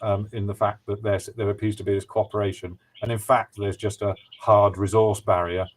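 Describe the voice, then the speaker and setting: A man's voice: on the low side, flat delivery, moderate pace. A man taking part in a casual online discussion, hesitant and reaching for his words. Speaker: discussion participant.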